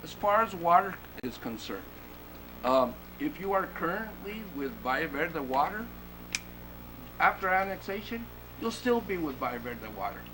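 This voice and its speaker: A man serving as city manager, answering questions at a council meeting in a measured, explanatory way. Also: on the high side, very animated. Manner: measured and explanatory, with fillers and restarts. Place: council chamber. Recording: noisy meeting-room recording.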